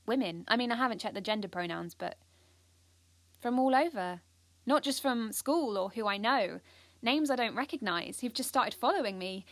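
High-voiced, earnest British schoolgirl. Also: no room sound. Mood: amazed. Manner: confiding, earnest, expressive. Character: Joan, earnest, ambitious, anxious schoolgirl narrating her life. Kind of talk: first-person audio drama narration.

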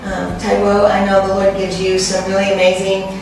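A woman co-pastor leads a church women's discussion with an encouraging, affirming manner. She is recorded from across an echoey room, with steady background noise. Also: medium pitch, normal speed, gravelly. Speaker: co-pastor hosting a women's group. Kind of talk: warm church talk. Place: church resource center. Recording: echoey room from a distance, noisy.

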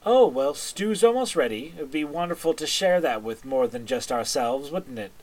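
A man's voice: on the high side, lively intonation, moderate pace, rough recording. Man doing a sweet motherly witch sister. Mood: doting. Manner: gentle, welcoming, hostess-like.

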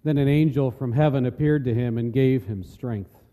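Very mellow male narrator in echoing hall. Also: medium pitch, normal speed. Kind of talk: scripted scripture narration. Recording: echoey hall with long reverb.

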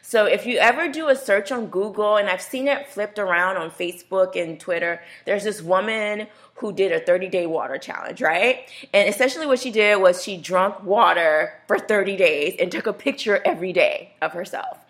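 A woman's voice: medium pitch, normal speed, few pauses, fairly bright tone. Chatty woman hosting a health radio show. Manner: chatty, laughs, voices others playfully. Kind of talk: casual radio talk.

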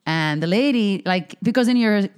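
A woman from Finland, a comedian and health coach, telling stories in casual chat, animated, full of fillers and swearing freely. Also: mid-range voice, normal speed, clear, resonant tone.

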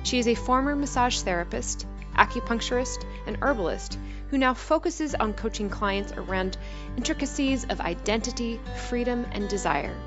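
A woman's voice: a little high, expressive, normal speed. A woman podcast host reading a scripted introduction of her guest in an eager, enthusiastic way. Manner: warm, enthusiastic read. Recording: dull audio with music behind.

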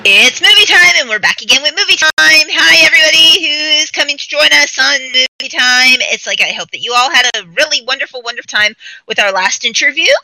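Bright enthusiastic female host. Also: sits high, animated, fairly quick, soft background music, low-quality recording. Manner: enthusiastic, gushing. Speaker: show host. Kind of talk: upbeat interview hosting.

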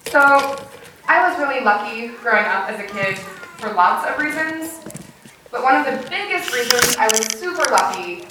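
High-voiced woman preaching in an echoey room. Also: animated, normal speed, sharp tone. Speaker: pastor. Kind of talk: sermon opening with a personal anecdote. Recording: echoey church, distant mic, clear noise.

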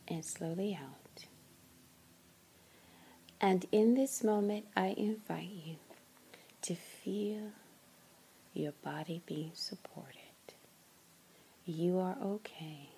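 A woman leading a guided meditation in a soft, breathy, largely whispered voice, soothing and gentle, with long pauses. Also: fairly low pitch, slight background noise, rough recording.

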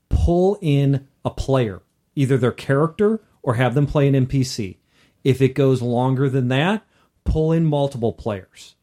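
A man's voice: medium pitch, mellow. A man co-hosting a podcast, chatting casually about tabletop gaming. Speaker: podcast co-host.

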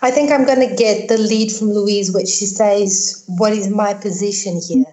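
A woman's voice: medium pitch, fairly even intonation, normal speed, mellow, poor audio. A woman with a South African accent, a field researcher reflecting on her own position in a panel discussion. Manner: reflective, lays out points in order.

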